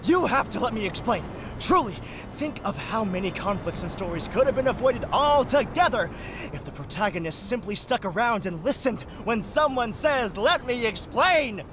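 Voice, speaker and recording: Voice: medium pitch, lively intonation, normal speed, background noise, lightly acted. An actor voicing an awkward, self-conscious young artist in a cartoon, stammering and wordy in a theatrical way. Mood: flustered, defensive.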